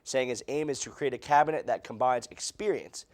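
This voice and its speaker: A man's voice: medium pitch, a little fast. A young man with an American accent reads scripted news in a formal newscaster delivery, as a reporter and anchor. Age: young adult.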